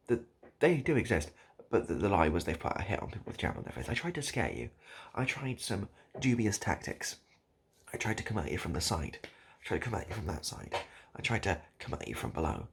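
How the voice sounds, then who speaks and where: A man improvising a comic monologue straight to the listener, halting and repeating himself in a mock-earnest, absurd way. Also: sits low, gravelly, husky. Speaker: online video host.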